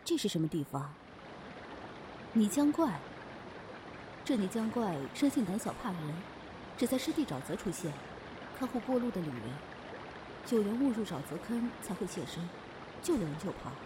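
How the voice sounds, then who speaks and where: A woman's voice: mid-range voice, big pitch swings, moderate pace, long pauses, natural voice. A woman playing a confident young innkeeper in a fantasy audio drama, commanding and teasing. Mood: probing, decisive. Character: confident young female demon-inn boss, brisk and playful. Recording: noisy background ambience.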